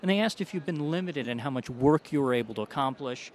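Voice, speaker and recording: A man, a doctor, answering interview questions about a study in a measured, explanatory way. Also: medium pitch, animated, a little hiss or hum. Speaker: doctor, allergy specialist.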